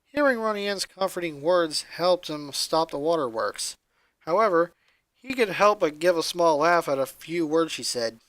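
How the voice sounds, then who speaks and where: Male narrator, high-pitched, bright, expressive scripted reading, dry sound. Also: moderate pace, no room sound, poor audio. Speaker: fan fiction reader.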